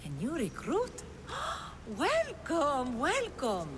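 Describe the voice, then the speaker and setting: A woman's voice: sits high, huge pitch swings, very slow, exaggerated voice. An actress playing a zealous, effusive female cultist in a video game cutscene, with dramatic, theatrical delivery. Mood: excited. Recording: long hall reverb, noisy ambience.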